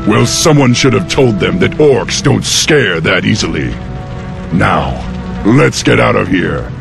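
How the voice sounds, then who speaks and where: Gravelly, commanding young orc warchief. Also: male voice, on the low side, lively intonation, measured pace, full character voice. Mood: resolute. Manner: commanding, declarative. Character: bold, resolute young male orc warchief. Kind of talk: heroic fantasy game acting. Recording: voice over game music.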